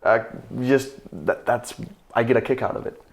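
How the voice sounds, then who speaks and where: A man's voice: mid-range voice, steady tone, normal speed. A Danish man speaking English as a second language, a guest on a podcast, talking in a reflective way, searching for words and laughing easily. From Denmark. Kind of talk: casual conversation.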